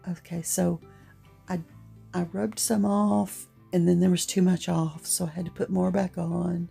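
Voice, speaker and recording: A middle-aged woman with a gruff voice giving a chatty, rambling, unhurried voice-over for a craft tutorial. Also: on the low side, little pitch movement, warm tone, soft background music. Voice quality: gruff, gravelly. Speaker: craft vlogger.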